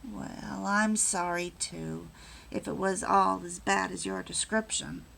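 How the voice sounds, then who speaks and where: A woman voicing a tender young husband who gently reassures his wife in a read-aloud story. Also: mid-range voice, steady tone, takes it slowly, vocal fry, a little hiss or hum, full character voice. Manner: gentle, affectionate.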